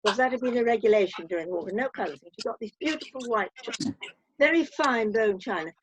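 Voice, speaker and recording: A woman's voice: fairly high pitch, lively intonation, quick pace, a little hiss or hum, low-quality recording. An older woman chatting casually and reminiscing on a video call.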